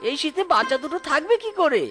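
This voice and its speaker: A woman's voice: high-pitched, very animated, fast talker, a bit bright, faint music behind. A woman voicing a poor, weary, cautious husband fox in a children's cartoon, with a plaintive manner. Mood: fretful.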